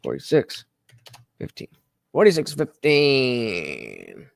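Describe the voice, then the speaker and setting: A man's voice: mid-range voice, huge pitch swings, slow pace, gravelly, dry recording. A man hosting a live stream, chatting casually and rambling with many hesitations while he reacts to a clip.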